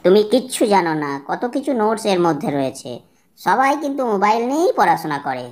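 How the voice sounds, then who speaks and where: A woman's voice: medium pitch, very animated, fast talker, darkish tone. A woman voicing a harried, grumbling schoolchild in an amateur comedy cartoon. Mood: defiant grumbling.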